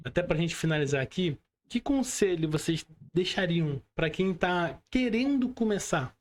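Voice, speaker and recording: A man's voice: sits high, animated, moderate pace. A Brazilian man hosting an online interview podcast in a casual, informal manner, often adding tag questions. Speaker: podcast host. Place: remote video call.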